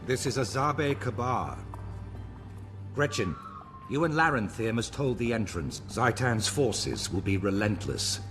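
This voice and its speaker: An actor playing a solemn, scholarly plant-folk marshal in a video game cutscene, speaking in an earnest, formal, measured way. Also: male voice, medium pitch, lively intonation, close to an everyday voice. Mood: resolute, formal. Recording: voice over loud game music.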